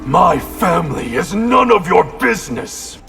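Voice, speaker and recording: An actor playing a cold, defiant young ninja clan leader with a gravelly voice, in a game clip. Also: male voice, high voice, very animated, unhurried, pushed and bright, exaggerated voice. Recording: game clip with music behind.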